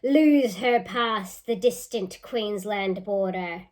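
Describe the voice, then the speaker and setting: A woman's voice: mid-range voice, takes it slowly, darkish tone, lightly acted. A woman voicing a vain, cruel stepmother queen from a storybook in a commanding manner.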